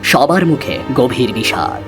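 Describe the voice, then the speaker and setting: A man's voice: mid-range voice, sing-song, a little slow, music in the background. A man narrating a fairy tale as a dramatic scripted storyteller.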